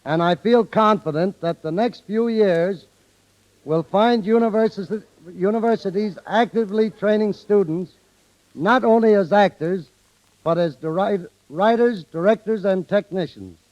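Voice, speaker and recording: High-pitched man giving formal radio speech. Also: a little slow, darkish tone. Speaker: film studio guest speaker. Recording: dull 1930s radio broadcast recording.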